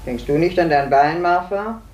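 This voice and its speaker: A woman's voice: fairly low pitch, normal speed, darkish tone, highs cut off, natural voice. An actress playing a grumbling, self-pitying servant woman in a 1950s radio drama, complaining peevishly. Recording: echoey, distant old radio recording.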